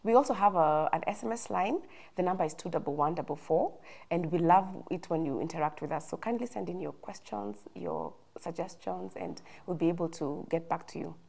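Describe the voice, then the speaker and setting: A woman from Kenya hosting a TV talk show in a studio, chatty and quick to affirm her guest. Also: on the low side, vocal fry, a little mellow. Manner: chatty, frequent affirming fillers.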